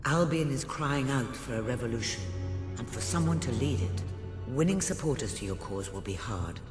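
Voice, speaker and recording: An actor playing a grave, commanding mystic guide in a video game, speaking in a measured, portentous manner. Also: male voice, mid-range voice, lively intonation, natural voice. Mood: solemn, urging. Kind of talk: dramatic video game cutscene acting. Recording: echoey long reverb, music behind.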